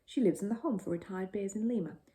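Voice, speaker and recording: A woman's voice: mid-range voice, quick pace, dark tone, natural voice. A woman reading a kind, polite, anxious mother in a children's storybook, with a caring manner. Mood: kind, pleased.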